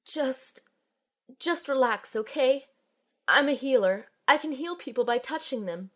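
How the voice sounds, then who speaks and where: A woman's voice: sits high, lively intonation, unhurried. A woman playing an earnest young healer in an audio drama, telling and acting out her own ordeal with soothing, emotionally involved delivery. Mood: soothing, urgent. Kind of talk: amateur audio drama, first-person narration and dialogue.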